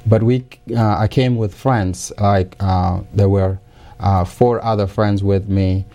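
A middle-aged man, not a native English speaker, giving careful and hesitant interview answers with many pauses and restarts. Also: low voice, fairly even intonation, dark tone. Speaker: interview guest.

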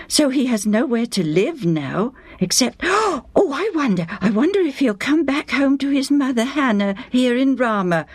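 A woman's voice: fairly high pitch, no room sound, pitched above the performer's own voice. A woman doing an emotional, breathless wife. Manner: tearful, breathless, excitable. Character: emotional devoted wife and mother.

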